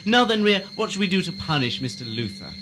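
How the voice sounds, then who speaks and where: A man's voice: high-pitched, very animated, fast, a bit bright, slight background noise, full character voice. An actor playing a sinister, lordly asylum keeper and father in a science fiction audio drama, silky, mock-courteous and condescending. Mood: silky menace.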